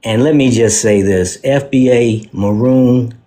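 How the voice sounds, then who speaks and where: A man's voice: mid-range voice, unhurried, dark tone. A man with a Detroit accent, defiantly arguing his side in a heated panel debate. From Detroit, Michigan. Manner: defiant, combative.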